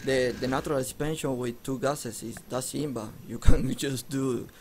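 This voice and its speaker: A man's voice: medium pitch, fairly even intonation, moderate pace, a little hiss or hum. Joking male esports caster. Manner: joking, restarts words often. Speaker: esports commentator. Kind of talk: casual match commentary.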